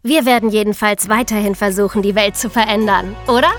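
A woman's voice: medium pitch, steady tone, brisk, soft background music. A woman co-hosting a podcast, giving opinions in a scripted discussion. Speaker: podcast co-host.

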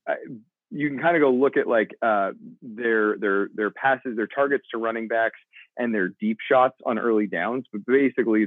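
A man co-hosting a casual sports podcast, halting with many ums and restarts, heard over a tinny line. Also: on the low side, dry recording. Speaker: podcast co-host.

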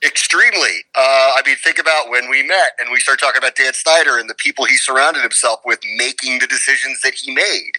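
A man's voice: medium pitch, fairly quick, hardly any pauses, pushed and bright, poor audio. A man giving conversational sports commentary as a radio guest, emphatic and opinionated, often posing rhetorical questions.

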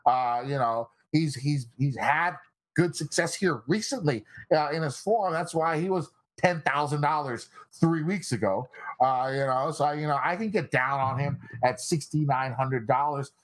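A young man born in South Korea, a guest on a podcast, chatting casually with a relaxed, filler-heavy way of talking. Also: a little high, normal speed. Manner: relaxed, laid-back banter with frequent fillers. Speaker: guest analyst.

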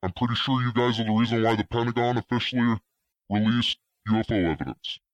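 A young man leaving a voicemail for a podcast, pushing his voice low and throaty, brash and teasing. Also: no echo, dull audio. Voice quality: voice deliberately lowered and throaty. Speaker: caller. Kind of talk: voicemail message.

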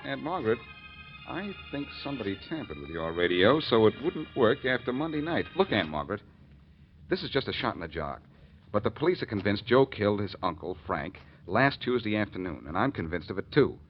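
An actor playing a confident, wry amateur detective in a 1940s radio drama, brisk and probing. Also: male voice, lowish voice, big pitch swings, soft background music. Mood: probing, insistent. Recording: dull, noisy old 1940s radio recording.

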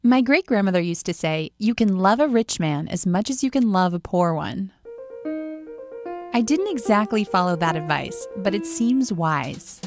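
A woman's voice: medium pitch, animated, moderate pace, over music. Female radio reporter narrating.

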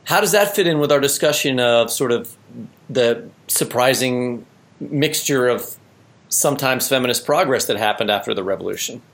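A man's voice: mid-range voice, animated, moderate pace, fairly bright tone. A man hosting a conversational podcast interview in a casual way, putting questions and paraphrasing. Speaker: podcast host.

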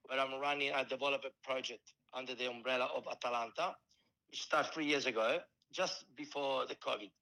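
Bright-voiced Italian-accented man. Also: mid-range voice, steady tone, creaky, rough recording. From Italy. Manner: halting, many restarts and fillers. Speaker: football coach and scout. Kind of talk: casual interview answers.

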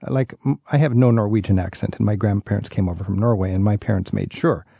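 Gravelly-voiced American radio host. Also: male voice, on the low side, fast talker, dark tone, no room sound. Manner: earnest, conversational. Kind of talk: radio interview.

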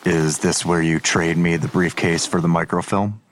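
An actor playing a weary, sardonic police detective in an audio drama, with a dry, blunt, skeptical delivery. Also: male voice, low-pitched, fairly even intonation, moderate pace, a little hiss or hum, close to an everyday voice. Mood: dry, uneasy. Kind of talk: naturalistic audio drama acting.

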